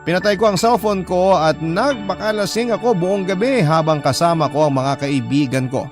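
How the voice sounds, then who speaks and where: A man reading an aggrieved sibling's letter as dramatic narration, measured and emotive, with a Filipino accent. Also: sits high, close to an everyday voice. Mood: sorrowful. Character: aggrieved elder sibling telling their story by letter. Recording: prominent music under narration.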